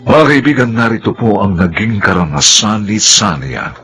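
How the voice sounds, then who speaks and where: Deep, solemn Filipino male radio narrator. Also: sing-song, bright, forceful tone, breathy edge, soft background music. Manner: solemn, deliberate. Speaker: narrator and program host. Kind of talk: dramatic, poetic scripted radio narration.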